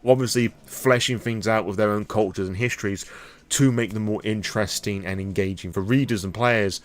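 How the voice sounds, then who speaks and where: A British man speaks in a fairly low voice as the host of an impromptu vlog. He explains things casually and at length, with a conversational, frank manner and a loose, wordy way of building his sentences. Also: fairly even intonation, a little fast. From Britain. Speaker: host of a lore channel.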